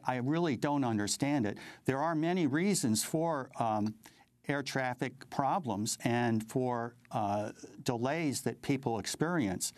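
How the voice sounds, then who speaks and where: An older man with an American accent giving interview commentary in a halting, measured way, often repeating words. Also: medium pitch, dry recording. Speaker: interview guest, advocacy group president.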